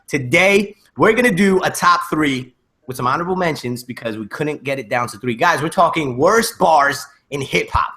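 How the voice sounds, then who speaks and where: Bright, excitable male podcast host. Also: fairly high pitch, moderate pace. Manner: excitable, emphatic, profane slang. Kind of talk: casual banter.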